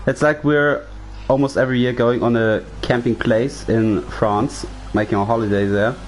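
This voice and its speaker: A man's voice: medium pitch, fairly even intonation, moderate pace, a little hiss or hum, poor audio. A man whose first language is German, leading a sim racing commentary in English in a chatty way and asking his co-host questions. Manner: chatty, steers the talk with questions. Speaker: lead commentator. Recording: faint music in the background.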